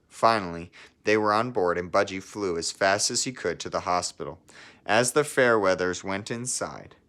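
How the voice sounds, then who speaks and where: A man reading a children's storybook aloud like a teacher, in measured narration with casual asides. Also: sits low, a bit bright.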